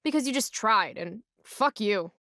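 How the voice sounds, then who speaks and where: A woman's voice: a little high, animated, unhurried, bright, forceful tone, no echo. Rebellious teenage girl, American accent, sardonic, defiant, video game dialogue, dry studio sound. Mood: mocking defiance. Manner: sardonic, defiant, foul-mouthed.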